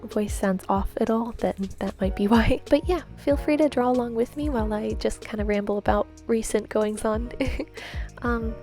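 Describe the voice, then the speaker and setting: A young woman chatting and rambling while she draws, soft-spoken and giggly, her voice a little off from a cold. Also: a little high, moderate pace. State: slightly congested from a cold. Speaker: art vlogger. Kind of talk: chatty voice-over while drawing. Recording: faint background music.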